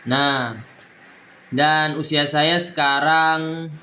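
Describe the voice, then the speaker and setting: A young man, a university student, giving a recorded exam presentation in a halting way with many fillers and restarts. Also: fairly high pitch, steady tone, sharp tone. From East Nusa Tenggara, Indonesia. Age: young adult.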